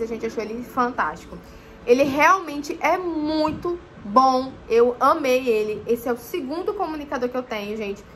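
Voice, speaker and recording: A young woman talks casually to the camera from her living room, chatty and loose with her fillers. Her voice sits high. A faint hiss runs behind her. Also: fairly bright tone. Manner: chatty, often says "gente", many fillers. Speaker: motorcycling vlogger.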